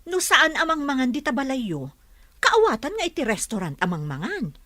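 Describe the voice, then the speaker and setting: A woman's voice: high voice, very animated, brisk, pushed and bright, dry recording, exaggerated voice. A woman playing a kindly, nosy village neighbour with an Ilocano accent in a radio drama. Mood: concerned.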